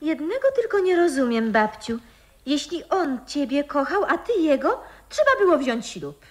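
Earnest, high-voiced young granddaughter. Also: female voice, very animated, normal speed. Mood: curious. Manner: ardent, girlish, theatrical. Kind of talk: 1960s radio play acting.

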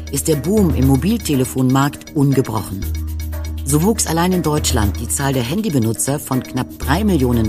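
An actor playing a measured, formal male radio presenter reading a scripted announcement. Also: medium pitch, lightly acted.

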